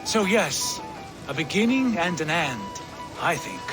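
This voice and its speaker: A man voicing a calm, thoughtful Viking brother who speaks warmly. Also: on the high side, unhurried. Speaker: brother of the clan leader. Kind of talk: video game voice acting. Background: music.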